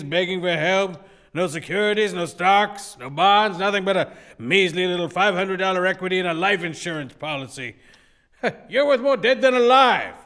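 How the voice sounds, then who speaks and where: A man's voice: high-pitched, fairly bright tone. A man playing a sneering, ruthless old rich banker in a radio play, mocking and drawing out his taunts. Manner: mocking, contemptuous, drawn-out taunting.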